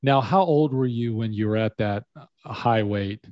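A man's voice: fairly low pitch, measured pace, no room sound. A man hosting an interview over a video call, asking long questions full of fillers. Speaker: host. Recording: dull lo-fi video call audio.

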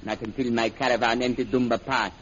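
An actor playing a menacing, calculating foreign jungle prince with formal, measured, imperious speech in an old radio serial. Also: male voice, medium pitch, fairly even intonation, fairly bright tone, slightly rough. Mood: smooth, explanatory. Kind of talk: 1930s American radio serial acting. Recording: muffled, noisy old radio recording.

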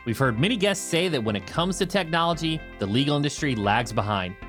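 A man's voice: a little high, animated, fairly quick, fairly bright tone, faint music behind. A man hosting a podcast, moving between scripted narration and interview talk. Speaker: podcast host.

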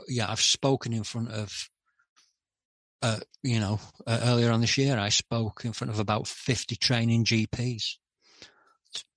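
A middle-aged man speaking candidly as a podcast guest, hesitant with many pauses and restarts. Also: lowish voice, no room sound. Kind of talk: candid interview talk.

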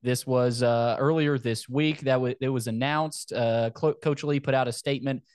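A man with a mid-pitched voice hosts a casual sports podcast. He talks conversationally and circles back over phrases for emphasis. The recording is close and dry. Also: fairly even intonation, moderate pace, a little mellow, smooth, no room sound.

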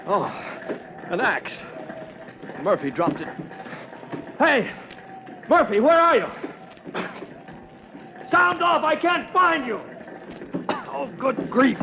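Young male rookie firefighter, high voice, earnest and effortful, radio serial style, muffled and noisy. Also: big pitch swings, takes it slowly, pauses often, exaggerated voice. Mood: straining, alarmed. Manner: effortful, breathy exclamations. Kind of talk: old-time radio acting.

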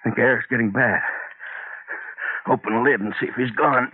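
An imperious, fearful man of wealth, played by a male actor, talks in a rough, husky voice. His words come in agitated, clipped bursts, acted in old-time radio horror style. The recording is thin and muffled, like a vintage broadcast. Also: medium pitch, fairly even intonation, moderate pace, lightly acted. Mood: frantic, panicked. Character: rich, imperious man reduced to panicked terror.

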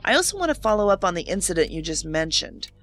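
A woman's voice: fairly low pitch, very animated, fast talker, a bit bright. A woman playing a skeptical federal agent in an audio drama, speaking in a direct, businesslike way. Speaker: federal investigator. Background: faint music.

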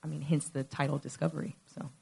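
A woman with a notably deep voice talks through her thoughts on a panel. She pauses and repeats herself as she searches for the right words. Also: flat delivery, vocal fry, darkish tone, husky. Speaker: actor on a panel. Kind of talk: reflective panel answer.

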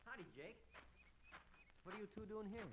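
An actor playing a bitter, hot-tempered young cowboy in an old radio western. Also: male voice, high voice, moderate pace, close to an everyday voice. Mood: wary. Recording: noisy vintage radio recording.

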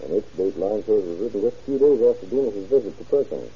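An actor playing a dutiful, deferential deputy, reading deliberately in an old radio crime drama. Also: male voice, fairly low pitch, lively intonation, mellow, breathy edge. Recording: dull, muffled old radio recording.

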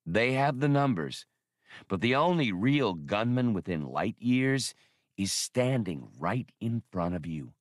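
A man's voice: lowish voice, sing-song, takes it slowly, dry recording, clean studio sound. A man voicing a cocky, self-assured male starship pilot and gunslinger in an audiobook, with a blunt, confident, clipped delivery. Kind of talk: audiobook character voice.

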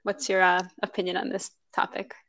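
A woman hosts a casual podcast conversation, upbeat and chatty. Her voice carries a gravelly edge of vocal fry. The sound is dry and close, with no room around it. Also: mid-range voice, monotone, a little fast, a bit bright, no echo. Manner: upbeat and chatty, laughs easily. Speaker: podcast host.

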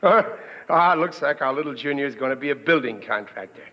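A man's voice: medium pitch, expressive, moderate pace, close to an everyday voice. An actor playing a blustering, doting working-class New York father, middle-aged and ungrammatical, in 1940s radio comedy style. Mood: complacent, proud. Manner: folksy, ungrammatical, blustery scolding and reassuring. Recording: muffled vintage radio recording in hall.

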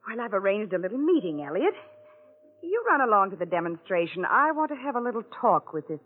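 An actress playing a domineering, suspicious, wealthy American wife in a 1940s radio drama. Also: female voice, mid-range voice, sing-song. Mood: suspicious, firm. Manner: brisk, imperious, questioning. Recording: tinny old radio broadcast.

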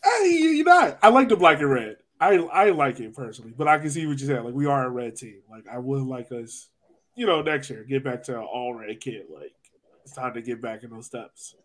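A man's voice: mid-range voice, lively intonation, fairly quick, a bit bright. A man hosting a casual, conversational sports discussion as a podcast host.

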